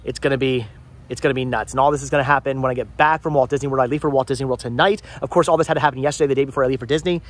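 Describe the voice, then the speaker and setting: A man in his thirties vlogging outdoors with the phone at arm's length, talking fast and rambling in an exasperated way, often repeating words. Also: mid-range voice, steady tone. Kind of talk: frustrated life-update vlog. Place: outdoors in a house yard. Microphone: phone camera held at arm's length.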